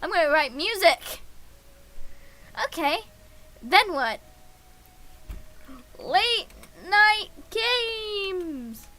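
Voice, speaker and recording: Woman, very high voice, slow pace, excitable, casual game streamer reading aloud. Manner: excitable, gushing, giggly. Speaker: livestreamer reading a visual novel aloud.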